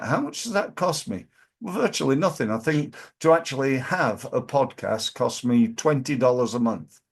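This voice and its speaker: A man from Yorkshire with a Yorkshire accent and a hoarse voice, giving business advice to camera in his office, emphatic and repetitive, with many fillers. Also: mid-range voice. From Yorkshire, England. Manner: emphatic, repeats key phrases, many fillers. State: hoarse from flu. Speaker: business coach. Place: office, in front of a backdrop screen.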